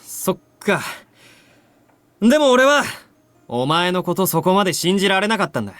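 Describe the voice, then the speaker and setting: A cocky young man in a visual novel, talking fast with lively, swinging pitch. His delivery is rough, offhand and nonchalant, recorded dry and close. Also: no room sound. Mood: nonchalant explaining. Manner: rough, casual, offhand masculine speech. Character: cocky, nonchalant young male schoolmate explaining himself. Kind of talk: Japanese visual novel voice acting.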